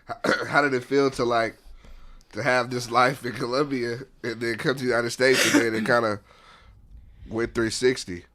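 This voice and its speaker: Male host, hesitant short questions, average pitch. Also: level tone, pushed and bright. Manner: halting, asks short questions. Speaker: interview host. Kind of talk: interview questions.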